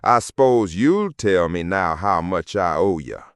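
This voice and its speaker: A man's voice: deep voice, animated, slow, no room sound, studio quality, full character voice. A man voicing a swaggering, insolent male traveler who goads others in an audiobook story. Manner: insolent, goading.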